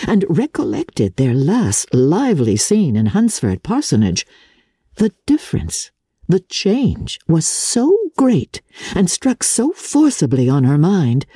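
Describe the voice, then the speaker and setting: A woman narrating classic fiction in a theatrical, measured and deliberate literary style, with a husky voice. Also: lowish voice, dark tone, no room sound, studio quality. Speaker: audiobook narrator.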